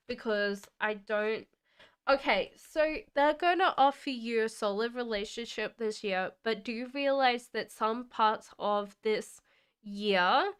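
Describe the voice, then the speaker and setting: A woman with an Australian accent blended with British tones gives a chatty live-stream tarot reading. Her voice is very smooth and a little high, and she takes her time. She reads viewer comments aloud and laughs easily. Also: a bit bright. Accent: Australian mixed with British. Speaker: tarot reader. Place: home in the UK.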